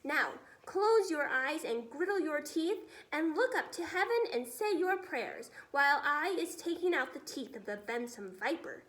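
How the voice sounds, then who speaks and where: A woman's voice: high voice, expressive, measured pace, hardly any pauses, fairly bright tone. A woman voicing a kindly, bossy giant with folksy, mangled grammar in a children's storybook reading. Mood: reassuring, commanding. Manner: ungrammatical, folksy mangled words. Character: kindly big friendly giant, bossy and reassuring.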